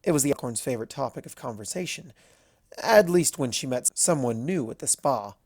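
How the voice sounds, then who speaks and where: A man's voice: mid-range voice, expressive, fairly quick, darkish tone, breathy edge, no echo, clean studio sound. A man reading scripted fiction aloud as the narrator of a story.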